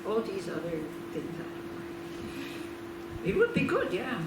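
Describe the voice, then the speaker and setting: A woman's voice: medium pitch, huge pitch swings, slow pace. Woman in a roomy, distant talk. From Switzerland. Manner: chatty, laughing asides, rhetorical questions. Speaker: Buddhist nun giving a talk. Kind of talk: informal teaching talk. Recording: roomy, distant mic, background noise.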